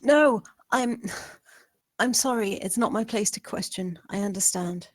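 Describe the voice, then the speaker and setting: A timid young housemaid, acted by a woman at a table read. She speaks slowly and softly, hesitating before she answers. The sound is dry and close, and her manner is obedient and reserved. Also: medium pitch, dry recording, close to an everyday voice. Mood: nervous, submissive. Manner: hesitant, deferential, soft-spoken. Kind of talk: dramatic script table read.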